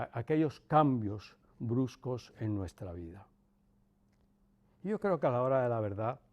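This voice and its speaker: A man in his sixties with a Cuban accent softened by Castilian Spanish, reminiscing in an interview in a measured way, with pauses and emphatic repetition. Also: medium pitch. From Cuba. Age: 60s, about 64. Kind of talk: reflective personal reminiscence.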